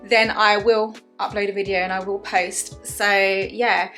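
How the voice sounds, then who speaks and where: Woman about thirty, vlogger, chatty and earnest, bright forward voice, soft music underneath. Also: mid-range voice, level tone, a little slow. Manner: chatty, earnest, many restarts and fillers. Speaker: wellness vlogger. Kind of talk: casual talk to camera.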